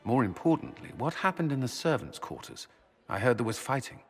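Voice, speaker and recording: An actor playing a blunt, practical military commander in a video game, serious. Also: male voice, medium pitch, animated, fairly quick, breathy edge, long reverb tail, faint music behind. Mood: urgent, serious. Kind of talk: video game character acting.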